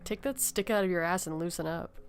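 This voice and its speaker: A woman's voice: lowish voice, a little fast, smooth, natural voice. A woman playing a brash, teasing young survivor in an amateur audio horror story, with a blunt, sarcastic manner. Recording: music behind the voice.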